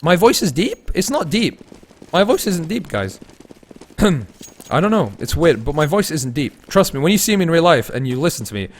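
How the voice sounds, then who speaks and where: A man commentating solo on a live game stream, mixing play-calling with casual chat to viewers and shifting between excited and low-key delivery. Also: normal speed. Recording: noisy with game gunfire and clicks. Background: game gunfire and clicks.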